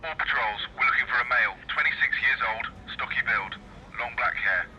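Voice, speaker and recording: A man's voice: mid-range voice, brisk. Man as police dispatcher, clipped, official, muffled radio, heavy hiss. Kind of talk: radio drama acting.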